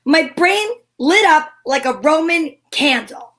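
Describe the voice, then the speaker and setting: A woman's voice: sits high, expressive, slow pace, fairly bright tone, full character voice. A woman playing a feisty, wry heroine in an old-time radio show, with sassy, theatrical delivery. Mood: wry narration. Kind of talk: hobby old-time radio acting with first-person narration.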